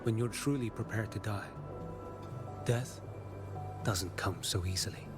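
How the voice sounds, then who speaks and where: Man acting a slow, grave warrior, game cutscene. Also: lowish voice, natural voice. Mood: solemn resolve. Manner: slow, solemn, measured delivery. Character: grave, weary warrior guarding against a dark tide. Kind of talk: dramatic video game acting. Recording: loud music behind the voice.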